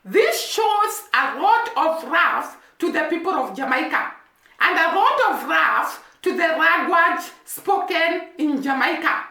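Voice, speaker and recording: A woman hosting a reaction video, explaining in an earnest, deliberate way. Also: extremely high pitch, lively intonation, bright, forceful tone, roomy sound. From Kenya. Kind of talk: commentary.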